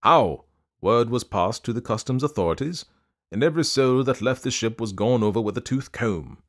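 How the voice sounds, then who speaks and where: A harried young gentleman in his thirties , as a male narrator plays him, pressing his points with earnest, exasperated emphasis. His voice moves widely in pitch, lively and animated, in a storybook reading. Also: moderate pace, close to an everyday voice.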